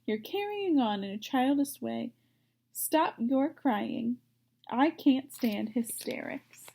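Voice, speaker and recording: A woman voicing a calm, firm, thoughtful female spider in a storybook. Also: fairly high pitch, takes it slowly, vocal fry, slight background noise, full character voice. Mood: brisk, firm. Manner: composed, firm, matter-of-fact.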